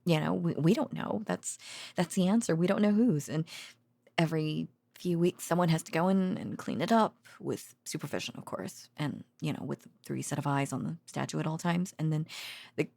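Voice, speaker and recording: Low, creaky-voiced tired researcher girlfriend, acted by a woman. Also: normal speed, darkish tone, dry recording. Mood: rambling, wry. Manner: hesitant, rambling, sighing, wryly sarcastic. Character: tired, teasing affectionate girlfriend, a researcher at a secret containment foundation. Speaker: voice actor. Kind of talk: intimate audio roleplay, sleepy bedtime rambling.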